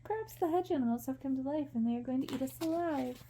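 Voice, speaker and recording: A woman voicing a timid, adoring young noblewoman in a storybook, with a gentle, coy manner. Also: sits high, fast, slight background noise. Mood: puzzled, teasing.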